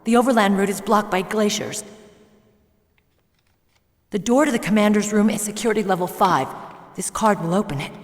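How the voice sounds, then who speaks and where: A woman's voice: mid-range voice, moderate pace, pauses often, lightly acted. Woman playing an earnest young soldier, echoing hall. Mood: firm, matter-of-fact. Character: young female soldier, earnest, self-doubting yet determined. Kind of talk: video game voice acting. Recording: long hall reverb.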